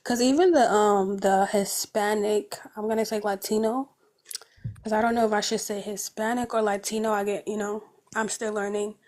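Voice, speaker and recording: A woman's voice: medium pitch, fairly even intonation, normal speed, darkish tone. A woman on a panel talking hesitantly, often dropping her voice to a near whisper. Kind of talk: casual panel discussion.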